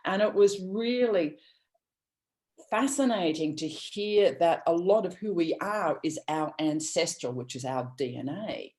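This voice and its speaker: A woman's voice: lowish voice, expressive, measured pace, a little mellow. A woman from Australia, giving an earnest, rambling motivational talk with many restarts over a video call. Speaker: guest speaker.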